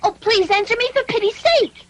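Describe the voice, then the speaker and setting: A woman voicing an earnest, excitable wooden puppet boy in a dubbed cartoon, childlike, exclamatory and innocent. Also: extremely high pitch, brisk. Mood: eager, pleading. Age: child figure.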